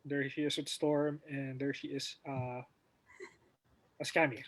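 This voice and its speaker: A man's voice: mid-range voice, little pitch movement, measured pace, a bit bright, slight background noise, rough recording. A man hosting a casual livestream talk show, welcoming guests with many hesitant fillers.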